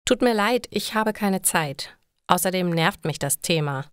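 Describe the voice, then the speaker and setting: A woman's voice: fairly low pitch, expressive, unhurried, clear, resonant tone, no room sound, studio quality. A woman voicing an irritable, put-upon young man in an audio story, polite but firm and plainly protesting. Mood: annoyed refusal.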